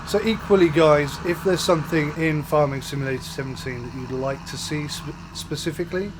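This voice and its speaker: A man's voice: on the high side, a little fast. A man hosting a farming game let's-play, chatting to viewers as he plays, his voice a little off from a coming flu. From United Kingdom. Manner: relaxed, chatty, talks to viewers while playing. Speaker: gaming channel host. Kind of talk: casual let's-play commentary. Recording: faint game engine noise behind.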